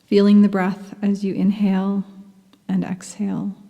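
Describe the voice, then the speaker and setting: A woman guiding a yoga nidra meditation, speaking softly and soothingly, sometimes dropping into a half-whisper. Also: mid-range voice, monotone, vocal fry, big-room sound. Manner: soft, slow, soothing, half-whispered at times. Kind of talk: guided meditation.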